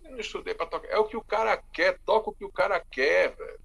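A man with a Salvador accent, a musician telling stories in a casual interview in an animated, talkative way. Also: sits high, quick pace, creaky. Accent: Salvador, Bahia. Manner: animated, often says "velho". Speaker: musician and bandleader.

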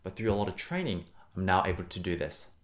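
A man's voice: low voice, very animated, fast. A man who works as a voice teacher and host, talking conversationally to listeners in an encouraging, emphatic and reassuring way. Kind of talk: conversational singing lesson to listeners.

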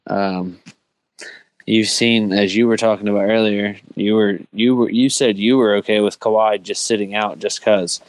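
Low-voiced man talking sports. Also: fairly even intonation, moderate pace, no echo, low-quality recording. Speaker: sports talk panelist. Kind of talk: casual sports discussion.

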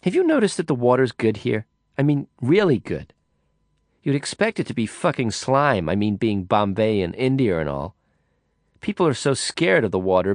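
A man's voice: medium pitch, no echo, clean studio sound, voice pushed up. A man voicing a brash, hard-drinking woman in an audiobook, blunt, profane and sneering. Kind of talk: audiobook character voice.

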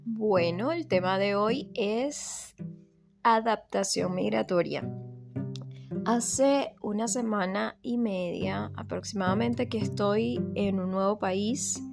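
A woman from Venezuela with a Venezuelan accent, telling a personal story in a reflective way, pausing and repeating words as she thinks. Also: medium pitch, creaky. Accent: Venezuelan Spanish. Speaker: vlogger. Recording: guitar music underneath.

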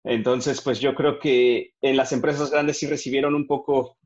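A man's voice: medium pitch, steady tone, normal speed. A man with a Mexican accent hosting a casual podcast over a video call, friendly and asking questions. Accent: Mexican Spanish. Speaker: podcast host. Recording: slightly dull lo-fi sound.

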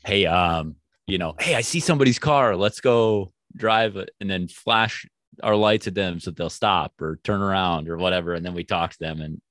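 This is a male podcast host from the Midwest, talking in a casual, rambling way and often restarting his sentences. His voice sits very low, and the recording is dry and close. He sounds relaxed as he chats with his guest. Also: normal speed, no echo. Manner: chatty, rambling questions with restarts. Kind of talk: casual interview chat.

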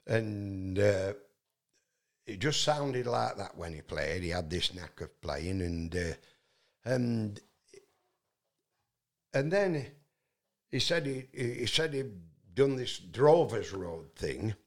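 A man's voice: lowish voice, very animated, slow, pauses often. A man from England, telling a long, rambling story as a guest and often restarting with fillers. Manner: loud voice, many fillers and restarts.

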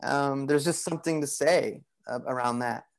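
A man speaking as a guest on a podcast, in a voice of middling pitch. He talks in a loose, meandering way, leaning on "you know" and "like" as he works through his thoughts. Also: moderate pace, gravelly. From Greek heritage. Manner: relaxed, rambling, many "you know" and "like" fillers. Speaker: podcast guest, bodywork practitioner. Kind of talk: casual conversational interview.

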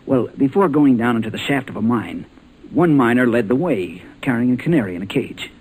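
A man playing a level-headed, resourceful American adventure hero, instructing briskly in 1940s radio serial style. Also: medium pitch, expressive, a little mellow, slightly rough. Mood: calm, explanatory. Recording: noisy old radio drama recording.

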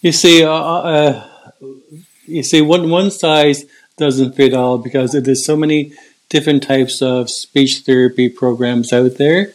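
A man's voice: mid-range voice, flat delivery, measured pace. An older man who stutters, with repeated words and restarts, speaking in an earnest, reflective way in a casual conversation. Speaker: guest.

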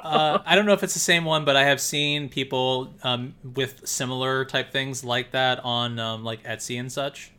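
A man with a bright, forceful voice hosts a casual chat. He asks questions with plenty of uhs and restarts. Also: medium pitch, steady tone, normal speed, hardly any pauses, clear, resonant tone. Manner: hesitant, asks questions with fillers. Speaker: podcast host.

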